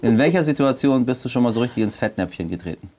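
A man hosting a casual interview, bantering and teasing playfully and quick to interject with questions. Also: mid-range voice, expressive, darkish tone. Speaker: interviewer, host.

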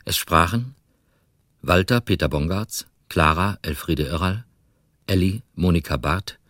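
A man reading radio drama credits as a formal, measured announcer. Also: low voice, a bit bright, dry recording, studio quality.

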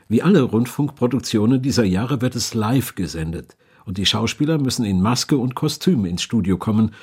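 Male doc narrator, bit of rasp, medium pitch, reading from a script. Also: a little mellow. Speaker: documentary narrator.

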